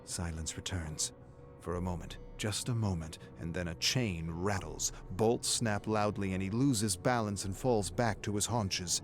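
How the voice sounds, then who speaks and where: A man with a low, deep voice delivers dramatic scripted narration. He is grave and deliberate, like a brooding storyteller. Soft music sits underneath his voice. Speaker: narrator of game lore readings.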